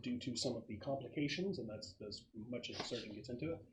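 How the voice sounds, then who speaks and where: A man serves as game master for a casual tabletop session. He explains things thoughtfully in a medium-pitched voice, often pausing mid-thought. Faint noise sits behind him. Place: casual online or home gaming session.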